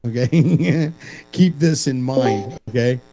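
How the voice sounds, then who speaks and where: A man co-hosting a casual show, joking and swearing freely in an animated, streetwise way. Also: mid-range voice, takes it slowly, soft background music. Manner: animated, profane, streetwise ribbing. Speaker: co-host.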